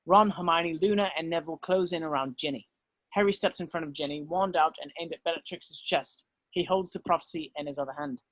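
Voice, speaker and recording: A man narrates stage directions from a script. His voice sits a little high for a man, and the sound is dry and free of room echo. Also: brisk, fairly bright tone.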